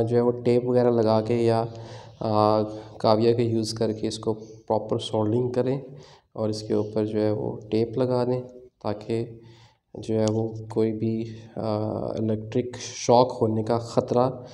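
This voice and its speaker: Man, Pakistani Urdu accent, DIY tech tutorial, relaxed dark tone, casual with fillers, working at a table. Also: medium pitch, takes it slowly. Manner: casual explanatory, many fillers, describes while working. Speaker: tech channel host.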